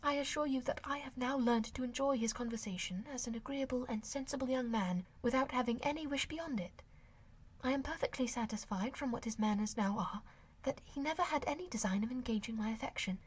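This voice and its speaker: A woman voicing a gentle, modest, earnest young woman in an audiobook of a classic novel, speaking softly. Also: fairly high pitch, fairly quick, breathy edge. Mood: earnest, protesting.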